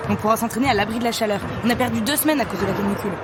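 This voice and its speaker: Actress as fast, determined woman, high-voiced. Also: natural voice. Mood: urgent, insistent. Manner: urgent, persuasive. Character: determined woman pushing her teammate to fight. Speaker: actor in an audio drama. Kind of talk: naturalistic French audio fiction acting. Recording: outdoor urban ambient noise.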